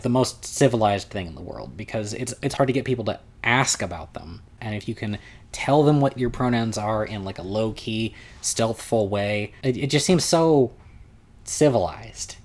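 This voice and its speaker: A young man with a loud voice telling a long, rambling convention story at home, often restarting his sentences. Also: fairly low pitch. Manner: rambling, self-interrupting, chatty. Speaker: vlogger recounting a convention. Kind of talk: casual storytelling vlog.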